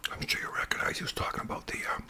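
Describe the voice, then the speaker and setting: A man with a Canadian accent speaks in a breathy whisper, chatting casually and unhurriedly in ASMR style as host. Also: very fast. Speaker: ASMR video creator and host.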